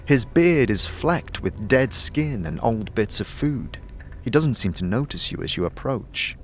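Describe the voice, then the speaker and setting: A man's voice: medium pitch, expressive, unhurried, slight background noise. A man reading scripted second-person fantasy narration as the storyteller of an audio drama. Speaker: narrator and protagonist's voice.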